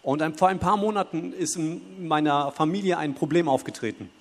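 Man, quick pace, slightly high voice, church interpreter, self-correcting, long hall reverb. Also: creaky. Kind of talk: consecutive interpreting into German. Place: church hall before a congregation.

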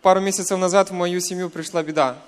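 A young man from western Ukraine with a Ukrainian accent giving a personal testimony in church, in short hesitant segments with pauses. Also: sits high, fairly even intonation, clear, resonant tone. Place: church, speaking to a congregation.

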